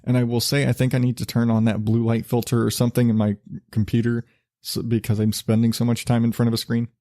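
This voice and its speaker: A man hosting his own podcast, talking in a loose, rambling, self-deprecating way with a relatively low voice, laughing and swearing casually. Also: completely flat delivery, fast talker, warm tone, no echo, clean studio sound. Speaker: podcast host.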